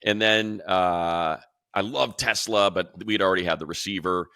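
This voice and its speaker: A man with a very low, bright and forceful voice breaks down football picks for a podcast. He rambles excitedly and restarts his sentences often. The recording is dry and close. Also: smooth, no room sound. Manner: rapid, rambling, excitable, full of fillers and restarts. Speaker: radio play-by-play announcer and co-host. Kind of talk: casual football podcast analysis.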